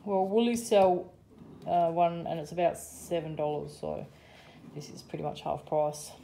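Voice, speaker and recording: Aussie mum doing a grocery haul, relaxed mellow voice, lots of ums, faint background hum. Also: female voice, on the low side, a little slow, vocal fry, rough recording. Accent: Australian. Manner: chatty, running price commentary with frequent ums. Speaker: vlogger showing a grocery haul. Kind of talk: casual chat. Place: home kitchen or dining room. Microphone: phone camera held over a table.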